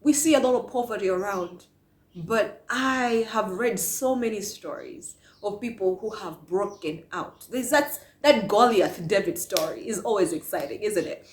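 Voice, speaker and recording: A woman from Malawi giving a passionate motivational talk to camera, asking many rhetorical questions. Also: mid-range voice. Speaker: vlogger. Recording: echoey room.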